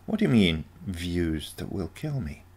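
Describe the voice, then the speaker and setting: A man's voice: low-pitched, very animated, measured pace, darkish tone, slightly rough, exaggerated voice. A man voicing a wry, sarcastic, skeptical grandmother in a short story reading. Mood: questioning, skeptical.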